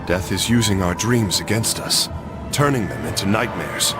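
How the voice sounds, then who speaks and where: A man's voice: low voice, expressive, normal speed. An actor playing an earnest, vengeful young nobleman and cursed crusader in a video game, speaking with solemn drama. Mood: grave. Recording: loud music behind.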